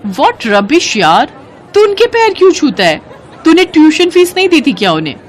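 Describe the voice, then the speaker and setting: A woman's voice: high voice, big pitch swings, normal speed, some chatter behind, slight background noise, voice pushed up. A woman voicing a haughty, snobbish rich young woman student in a cartoon story, speaking disdainfully with English words mixed in. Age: young adult.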